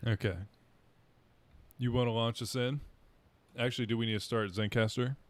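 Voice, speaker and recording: A man's voice: mid-range voice, steady tone, normal speed. A man co-hosting a casual podcast, chatting in an easygoing, upbeat way. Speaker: podcast co-host.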